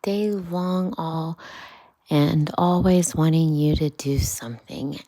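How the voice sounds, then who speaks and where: A worldly male water rat from a children's storybook, voiced by a woman, chatty yet guarded. He speaks at a leisurely pace with a soft, mellow tone and brushes things off with a cautious, dismissive air. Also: deep voice, slow pace, no room sound. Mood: complaining, airy. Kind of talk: children's bedtime storybook reading.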